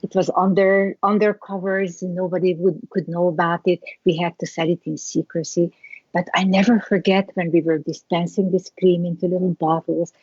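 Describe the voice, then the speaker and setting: A middle-aged woman with a Hungarian accent, a guest recounting her past in an interview, reflective and halting with many restarts. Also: lowish voice, flat delivery, a little mellow, husky, dry recording, highs cut off. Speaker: guest, skincare founder. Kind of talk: interview storytelling.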